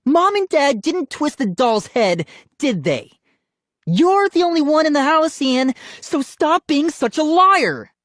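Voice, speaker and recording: A woman voicing a bratty little sister in a children's scary audiobook, angry and accusing, wailing and shouting. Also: sits high, sing-song, slow pace, no room sound, clean studio sound, pitched above the performer's own voice, heavily acted. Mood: bratty, scornful.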